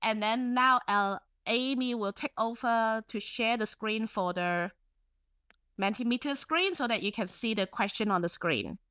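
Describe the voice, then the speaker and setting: Bright upbeat Cantonese-speaking woman presenter. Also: a little high, measured pace, clean tone, dry recording. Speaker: webinar presenter. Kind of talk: presenting a library webinar.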